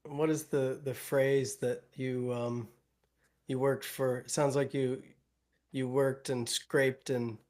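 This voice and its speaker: A man's voice: mid-range voice, level tone, takes it slowly, darkish tone. A man co-hosting an interview, asking long questions while searching for his words. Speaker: co-host, former instructor.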